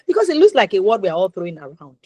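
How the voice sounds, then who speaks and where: A woman with a Nigerian accent hosting an interview show, speaking in deliberate, emphatic phrases and posing rhetorical questions. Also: medium pitch, wildly swooping pitch, darkish tone, no room sound. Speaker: talk show host.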